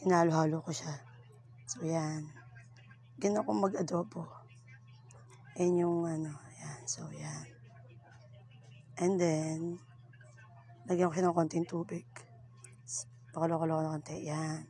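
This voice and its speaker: Woman, cooking vlogger, chatty, giggly, hoarse and breathy, lowish voice, home bathroom. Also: mellow. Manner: chatty, giggly, self-teasing. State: voice hoarse, partly whispery. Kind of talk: casual cooking tutorial in Taglish.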